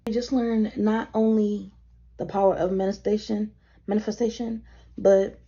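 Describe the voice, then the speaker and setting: A woman's voice: level tone, normal speed, mellow, breathy edge. Tired woman, 21, rambling vlogger chat, casual swearing, filmed at her desk on dull lo-fi camera audio. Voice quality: a little deeper than usual from tiredness. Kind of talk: casual get-ready-with-me chat.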